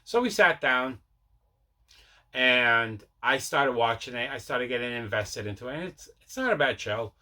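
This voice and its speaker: A man's voice: medium pitch, lively intonation, normal speed, bright, forceful tone. A man hosting a casual vlog about his collection, rambling and repeating himself as he talks. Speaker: host of a mail day collecting vlog. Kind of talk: casual chat showing movie pickups.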